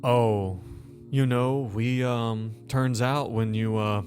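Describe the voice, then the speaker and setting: A man playing a smug, coldly self-assured federal agent in a tabletop game, speaking in an unhurried, condescending way. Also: medium pitch, steady tone, a little mellow, soft background music, natural voice.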